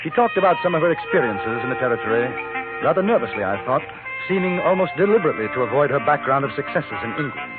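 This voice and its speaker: A man playing a polite, measured, wry gentleman newspaper reporter in a 1950s radio western. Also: mid-range voice, expressive, natural voice. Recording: narrow-band old radio, music behind.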